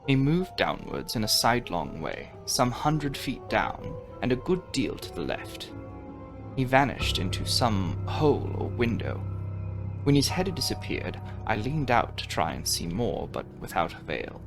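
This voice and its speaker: Male audiobook reader, low creaky voice, highly varied intonation, theatrical gothic narration. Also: very animated, a little slow. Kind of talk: dramatic reading of a gothic novel. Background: music under the reading.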